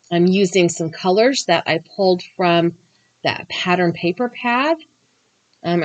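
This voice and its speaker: Scratchy-voiced woman hosting a craft chat. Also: on the low side. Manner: chatty and explanatory, with occasional ums. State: slightly scratchy voice after a cough. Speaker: crafting and true crime channel host. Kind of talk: crafting tutorial then read-out history narration.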